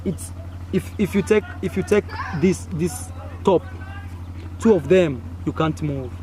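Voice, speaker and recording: A young man with a Kenyan accent, whose first language is Swahili, tells a story outdoors in an animated, chatty way. His voice sits a little high, and he acts out sounds as he goes. Faint village noise runs behind him. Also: unhurried. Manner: animated, acts out sounds and gestures with frequent fillers. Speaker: content creator. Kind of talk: casual storytelling to camera.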